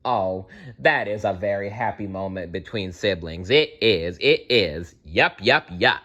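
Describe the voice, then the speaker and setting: A man tells a children's fairy tale in a bright, animated voice. His pitch moves around a lot, and he reads playfully, reacting to the story as he goes. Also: measured pace, dull audio. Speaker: storyteller reading aloud. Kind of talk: expressive fairy-tale read-aloud with asides.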